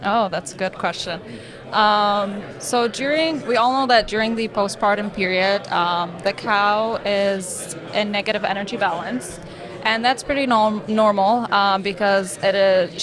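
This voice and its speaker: Woman in her twenties, from Lebanon, hesitant with ums, table mic at a conference, crowd chatter behind. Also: mid-range voice. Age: 20s. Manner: many filler ums and restarts. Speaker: graduate student guest. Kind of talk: conference podcast interview. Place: conference hall booth with busy crowd behind. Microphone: table mic on stand, wearing headphones.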